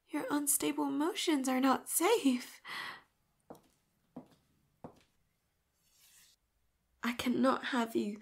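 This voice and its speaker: A woman voicing an obsessive, clingy female robot companion in an audio roleplay, talking breathy and hushed into a close mic, swinging from pleading to eerily calm control. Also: high voice. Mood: desperate pleading. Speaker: voice actress.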